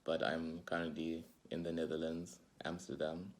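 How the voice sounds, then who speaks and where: A man in his twenties from Zimbabwe, giving a measured, thoughtful self-recorded reflection. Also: a bass voice, little pitch movement. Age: 20s.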